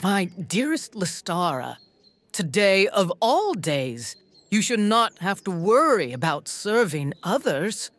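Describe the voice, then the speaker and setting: A gracious noblewoman, put on by a woman narrator, poised and smoothly condescending. Her lines lift and fall in a lively, unhurried way. She sounds a little away from the mic. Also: mid-range voice, pitched above the performer's own voice.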